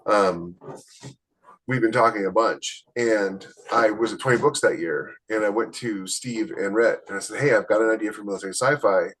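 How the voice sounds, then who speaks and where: A man with a slightly low voice speaks as a podcast co-host and author. His delivery is casual and conversational, built on personal anecdote, and he tends to act out the people he quotes. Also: moderate pace, a bit bright, rough recording.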